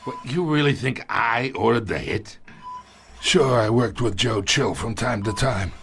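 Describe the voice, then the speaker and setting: A gravelly old crime boss in pain. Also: male voice, medium pitch, a bit bright. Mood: cold. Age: older. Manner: slow, taunting, mocking. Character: aging, wounded male crime boss, menacing and sly. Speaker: crime boss in a game cutscene. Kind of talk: video game voice acting. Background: faint music.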